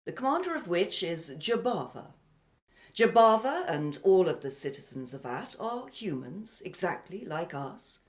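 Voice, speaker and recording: An actor playing a precise, haughty, educated foreign exile in a radio play, with formal, pedantic phrasing. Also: medium pitch.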